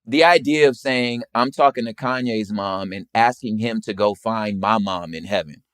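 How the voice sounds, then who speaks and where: A man's voice: lowish voice, moderate pace, a bit bright, smooth, dry recording, studio quality. An American man chatting casually about music in an emphatic, slangy way, often repeating his phrases. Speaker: rapper and poet, guest.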